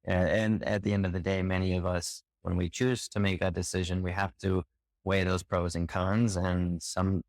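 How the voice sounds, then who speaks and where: Male podcast host, very deep voice, measured questions, dry booth sound. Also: little pitch movement, dry recording. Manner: measured, backchannels often. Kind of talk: interview questions and affirmations.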